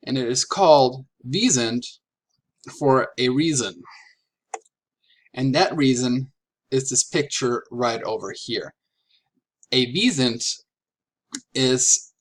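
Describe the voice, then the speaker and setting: A man from Germany giving a prepared slide presentation as a graduate student, with deliberate, halting phrasing and pauses. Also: mid-range voice, fairly bright tone, poor audio. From Franconia, Germany.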